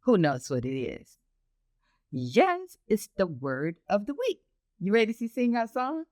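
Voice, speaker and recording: A woman hosting a children's storytime lesson, encouraging and instructive, speaking directly to young children with emphatic, deliberate enunciation. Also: mid-range voice, sing-song, darkish tone. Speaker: children's read-aloud host and teacher.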